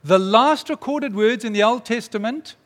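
Kiwi pastor guy preaching, kinda high voice, earnest and emphatic, church echo all around. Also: moderate pace. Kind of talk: sermon. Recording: long reverb of a church hall.

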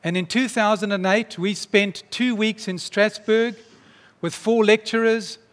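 A man's voice: high-pitched, fairly even intonation, measured pace, fairly bright tone. A man preaching a sermon to his church in an earnest, emphatic way. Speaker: pastor. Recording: long reverb of a church hall.

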